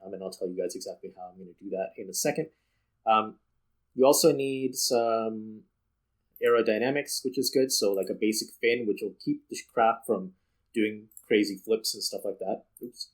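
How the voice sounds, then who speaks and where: A man's voice: on the low side, moderate pace, rough recording. A man hosting a gaming video, giving casual unscripted commentary and explaining things directly to the viewers.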